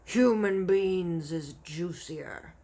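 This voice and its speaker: A woman growling as a slow, brutish giant. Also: fairly low pitch, dark tone. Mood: sneering. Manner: slow, menacing, drawn-out. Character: greedy brutish male giant, suspicious.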